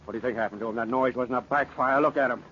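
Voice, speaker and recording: An actor playing a tough, cocksure police guard in 1940s radio drama style, blunt and emphatic. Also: male voice, mid-range voice, fairly even intonation, rapid-fire, natural voice. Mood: alarmed. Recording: muffled, noisy 1940s radio recording.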